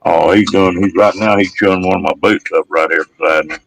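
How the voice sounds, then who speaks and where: A man with a deep, damaged, gravelly voice, chatting casually in a folksy, rural storytelling way. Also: steady tone, a little fast, a bit bright. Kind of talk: casual chat.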